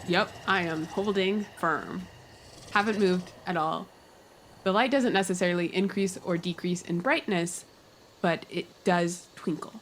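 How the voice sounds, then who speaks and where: Lowish-voiced woman at a game table. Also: steady tone, measured pace, a bit bright, a little hiss or hum. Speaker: guest tabletop player. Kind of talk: casual tabletop game chat.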